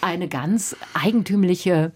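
A woman's voice: on the low side, slow pace, darkish tone, husky. Thoughtful woman discussing a book on radio. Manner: reflective, thoughtful explaining.

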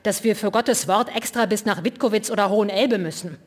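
A woman voicing a gruff, hot-tempered man who grumbles and flares up easily. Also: medium pitch, fairly quick, close to an everyday voice. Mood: irritated. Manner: gruff, irritable.

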